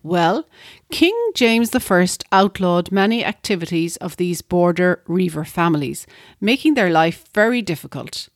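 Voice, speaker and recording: A woman co-host narrating a scripted history segment at a steady, measured pace. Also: fairly low pitch, no room sound, clean studio sound. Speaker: podcast co-host.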